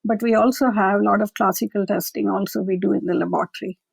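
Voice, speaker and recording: A woman, a lab director and guest on an interview podcast, explaining her scientific work in a measured, conversational way. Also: mid-range voice, fairly even intonation, vocal fry, husky, dry recording. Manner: measured, explanatory, occasional fillers.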